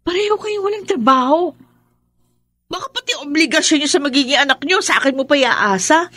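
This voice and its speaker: A woman's voice: high-pitched, expressive, fairly quick, fairly bright tone, no echo. An actress playing an exasperated, sarcastic woman who scolds and nags in a Tagalog radio drama. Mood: nagging, sarcastic. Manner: nagging, sarcastic.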